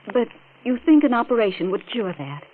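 A woman's voice: a little high, sing-song, brisk, slight background noise. An actress playing an anxious young wife pleading for her husband in a 1940s radio drama, earnest in her manner. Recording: tinny old radio broadcast.

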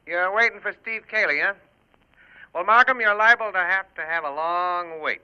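A sardonic American homicide cop in an old radio mystery. The voice is high, brisk and matter-of-fact. The recording is muffled. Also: male voice, sharp tone, smooth. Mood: wry. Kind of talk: 1950s American radio crime drama acting.

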